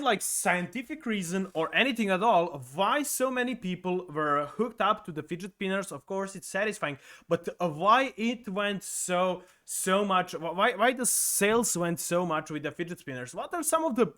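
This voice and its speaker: A man hosting a podcast interview, asking halting questions with many restarts and fillers. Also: high voice, expressive, bright, forceful tone. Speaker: podcast host.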